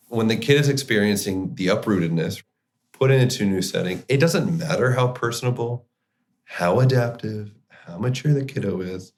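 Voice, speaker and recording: Low, gravelly man explaining conversationally. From Chile and the United States. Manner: informal, uses slang like kiddo and buddy. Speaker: clinical psychologist, podcast guest.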